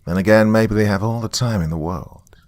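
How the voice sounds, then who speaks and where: A man's voice: lowish voice, brisk, darkish tone, no echo, clean studio sound, voice pushed up, lightly acted. A man voicing a weary, sardonic small-town man, rambling wryly through a taped confession in a horror story. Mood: uneasy, resigned. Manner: rambling, wry, colloquial. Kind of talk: dramatic monologue as a taped confession.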